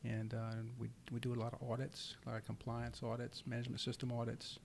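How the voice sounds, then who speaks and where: Calm gravelly-voiced male guest. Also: mid-range voice, slight background noise. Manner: calm, measured. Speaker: safety consultant, guest. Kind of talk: interview answers. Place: his office.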